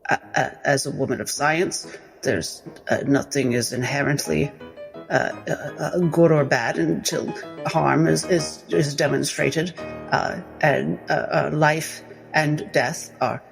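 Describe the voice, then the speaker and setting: A scholarly lady doctor played by a woman in a tabletop fantasy game, thoroughly flustered. She rambles and stammers in a slightly low voice, hesitating as she reasons. Music plays softly behind. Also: takes it slowly, vocal fry, exaggerated voice, poor audio. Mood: rattled. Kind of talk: tabletop roleplay in character.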